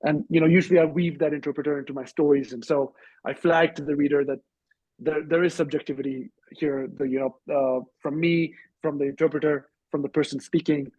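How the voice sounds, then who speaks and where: A man with a husky voice giving measured, reflective, slightly hesitant answers as a guest in an online discussion. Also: a little high, steady tone, a little mellow, no echo, muffled recording. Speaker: interview guest, author and journalist. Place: online video call.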